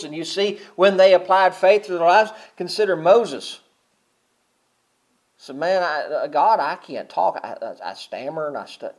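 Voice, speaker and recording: A middle-aged man preaching a sermon, talking casually and then swelling loud and high on key points. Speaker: pastor.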